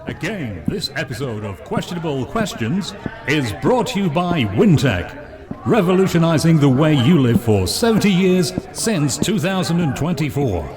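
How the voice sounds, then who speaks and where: A man playing a formal game show announcer in an audio drama, measured and presentational as he reads out scripted lines. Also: a little high, big pitch swings, over music. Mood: promotional.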